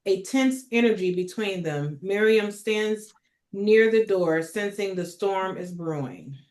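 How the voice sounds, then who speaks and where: A woman's voice: mid-range voice, expressive, takes it slowly, a little mellow. A woman hosting a script table read, reading stage directions with informal asides.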